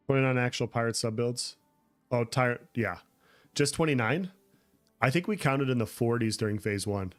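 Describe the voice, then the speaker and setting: A man chatting casually on a gameplay livestream, answering viewer comments as he plays. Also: mid-range voice. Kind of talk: casual gameplay stream chat.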